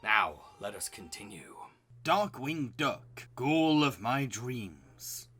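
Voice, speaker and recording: Male video host, very bright voice, scripted review narration, enthusiastic and recommending. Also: medium pitch, big pitch swings, takes it slowly, breathy edge.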